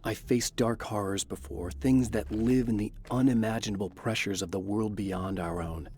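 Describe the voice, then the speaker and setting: An actor playing a brooding, tormented novelist in a video game, narrating gravely and with measured delivery like noir. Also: male voice, lowish voice, steady tone, a little mellow, slight background noise, natural voice. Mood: grim. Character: brooding tormented bestselling crime novelist hero, adult man. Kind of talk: scripted first-person noir narration.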